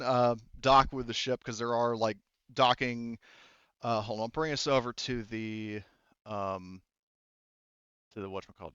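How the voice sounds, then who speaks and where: Halting, informal man, dry muffled sound. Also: medium pitch, fairly bright tone, smooth, dry recording. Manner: informal, halting, backtracking mid-sentence. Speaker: game master. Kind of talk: casual narration of a tabletop roleplaying game.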